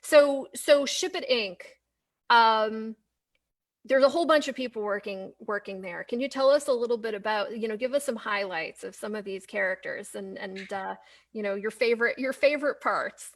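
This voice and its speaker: A woman with a fairly high voice chats as an interviewer. Her delivery is informal and a bit halting, with words repeated as she shapes each question. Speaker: interviewer, host. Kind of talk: casual interview chat. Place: live online video conversation.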